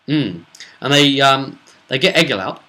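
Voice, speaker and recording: A man's voice: medium pitch, animated, a little slow, sharp tone, poor audio. A man chattily recapping a tabletop role-playing session as game master, telling it in a rambling, casual way.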